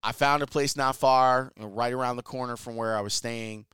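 A man's voice: mid-range voice, steady tone, brisk, fairly bright tone, clean tone, dry recording, studio quality. A man casually telling a travel story in a chatty, enthusiastic way, using slang. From Chicago, US. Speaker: sports reporter.

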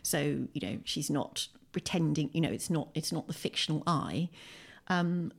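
A woman's voice: sits low, animated, normal speed, gravelly. A woman with an English accent talking thoughtfully and articulately as an interview guest, making her points with emphasis. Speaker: podcast guest, poet and writer. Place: at home, indoors.

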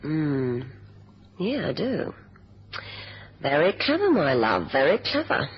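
An actress playing an admiring, conspiratorial kidnapper's accomplice in a 1970s radio drama. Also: female voice, low voice, huge pitch swings, slow pace, breathy edge, full character voice. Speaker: actor. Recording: dull old radio recording.

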